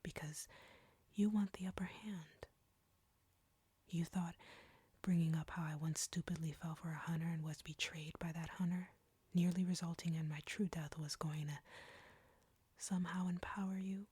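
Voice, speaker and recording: A woman playing an arrogant, flirty, menacing vampire in an intimate audio roleplay, with a breathy whisper and a taunting, deliberate delivery. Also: fairly low pitch, steady tone, mellow, dry recording. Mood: cold, bitter.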